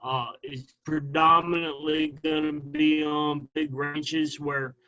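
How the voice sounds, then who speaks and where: Man, firm explainer, slightly high voice, dull lo-fi call audio. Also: little pitch movement, slow pace, bright, forceful tone. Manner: firm, explanatory, ends points with "okay?". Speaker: guest speaker, arborist. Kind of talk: Q&A answers at a club meeting.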